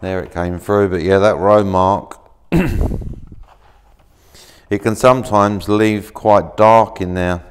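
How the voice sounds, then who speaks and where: A man in his thirties casually showing materials at a workshop table, talking in a relaxed, rambling way with plenty of fillers and restarts. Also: lowish voice, slow, pauses often, slight background noise. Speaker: maker demonstrating laser-cut materials. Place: workshop, indoors, at a work table.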